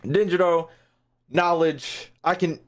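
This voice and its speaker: A man's voice: on the high side, steady tone, slow pace. A man giving casual, slangy gaming commentary as a streamer, swearing freely and repeating phrases as he thinks aloud. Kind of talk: casual reaction commentary to a live chat.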